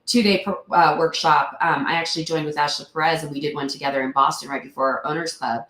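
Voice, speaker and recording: A woman's voice: deep voice, fairly even intonation, fast talker, fairly bright tone, reverberant, rough recording. A woman investor in a casual interview, sounding throaty after a cold, talking earnestly with many fillers. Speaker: real estate investor guest.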